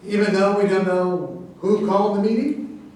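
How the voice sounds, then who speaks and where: A man's voice: high voice, fairly even intonation, normal speed, a little mellow, lightly acted. A man playing a touchy, self-important army general in a staged reading of a satirical play, argumentative and accusing. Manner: pompous, argumentative. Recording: very echoey room, distant mic.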